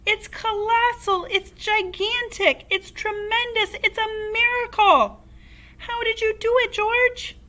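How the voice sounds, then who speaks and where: A woman reading a children's story aloud, voicing an excitable small farmer who shouts and exclaims. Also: fairly high pitch, measured pace, few pauses, pitched above the performer's own voice, heavily acted. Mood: excited.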